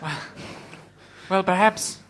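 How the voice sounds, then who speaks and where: A man's voice: high voice, takes it slowly, fairly bright tone. A man playing a polite, dithering sidekick in an improvised comic radio play. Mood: polite, hesitant.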